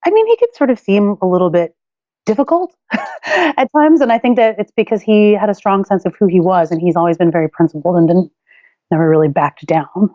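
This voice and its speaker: A woman's voice: mid-range voice, lively intonation, a little fast, mellow, dry recording, muffled recording. A woman in her forties, a guest author, telling personal stories candidly and thinking aloud, with frequent restarts and light laughter. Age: 40s. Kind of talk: reflective personal storytelling.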